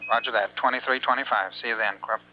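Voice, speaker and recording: A man's voice: medium pitch, fairly even intonation, quick pace. A man chatting in casual but procedural phrases over a radio. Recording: dull radio link with faint hum.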